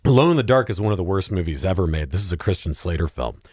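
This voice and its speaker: A man's voice: sits low, sing-song, fast, darkish tone, breathy edge, no echo, studio quality. A man chatting casually as a podcast guest about films, emphatic and given to comic exaggeration. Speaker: podcast guest, film commentator. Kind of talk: animated casual film banter.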